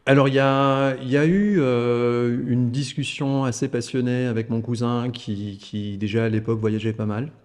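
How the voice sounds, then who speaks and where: A man's voice: mid-range voice, fairly even intonation, normal speed, few pauses, darkish tone, in a large hall. A man in his fifties from Alsace, a podcast guest talking casually, with many repeated words and fillers. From Alsace, France. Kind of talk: casual conversation.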